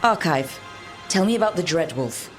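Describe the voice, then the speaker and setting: A woman's voice: low-pitched, sing-song, normal speed, faint music behind. An actress playing an easygoing, wry woman who leads adventurers in a video game, talking casually and dryly. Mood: wry, probing.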